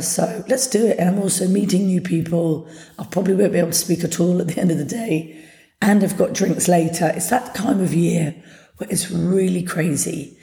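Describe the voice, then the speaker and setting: A woman hosting a solo podcast, hoarse from having partly lost her voice, chatting in an upbeat, motivational way. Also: fairly low pitch, warm tone. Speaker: podcast host. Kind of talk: casual solo podcast talk. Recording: echoey room.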